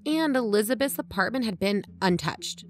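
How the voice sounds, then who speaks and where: A woman's voice: a little high, animated, moderate pace, close to an everyday voice. A woman playing an earnest, dry-humoured investigator who co-hosts a podcast, narrating in a plain-spoken, conversational way. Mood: serious. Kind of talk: conversational scripted audio drama narration. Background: background music.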